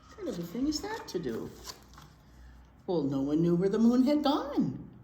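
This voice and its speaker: A woman reading a picture book to young children in an expressive, chatty way, often asking them questions. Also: medium pitch, moderate pace. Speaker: children's library teacher reading aloud. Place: indoor room at a library. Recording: roomy echo, noticeable background noise.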